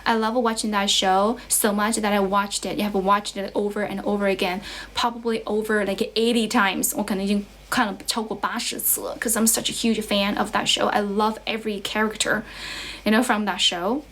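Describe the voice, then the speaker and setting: A woman's voice: mid-range voice, little pitch movement, brisk, fairly bright tone. A young woman from China who speaks English with a Chinese accent tinged with American, chatting casually and encouragingly to viewers as an English teacher on a livestream, often repeating phrases. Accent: Chinese, with American influence. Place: relative's house at home.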